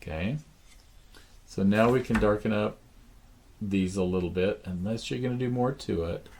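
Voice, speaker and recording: A man teaching a drawing lesson, thinking aloud slowly with many pauses, his voice raspy. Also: fairly low pitch, a little mellow, a little hiss or hum. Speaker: art teacher. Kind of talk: drawing lesson, talking while sketching. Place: art studio.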